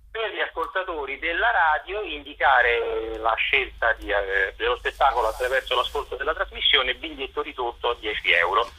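Male interview guest, theatre director type: very sharp, bright tone with a husky edge, discursive delivery, halting now and then. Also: mid-range voice, rough recording. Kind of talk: radio interview, explaining and promoting work.

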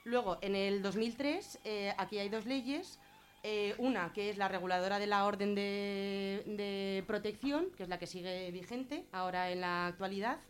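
A woman with a Spanish accent from Spain gives an informal explanation as a radio guest, colloquial and often halting as she thinks aloud. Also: medium pitch. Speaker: guest explaining gender-violence legislation on a radio show.

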